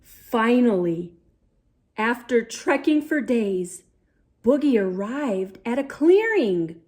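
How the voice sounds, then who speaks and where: A woman telling a children's story in an expressive read-aloud style, theatrical and playful, with dramatic pauses and emphasis. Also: on the high side. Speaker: children's story reader, host.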